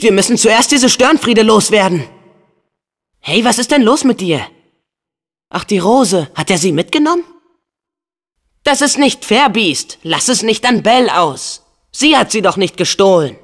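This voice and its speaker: A brave teenage boy hero from a video game, earnest and eager. The voice is youthful and very animated, swinging widely in pitch. The words come at an unhurried pace, each delivered with open, excitable feeling. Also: heavily acted. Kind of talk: video game character dubbing.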